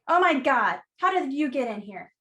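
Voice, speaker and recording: A woman playing a sassy, blunt, no-nonsense flower shop keeper in a radio play, brisk, wry and teasing in manner. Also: high-pitched, very animated, pushed and bright, slight background noise, exaggerated voice. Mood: exasperated.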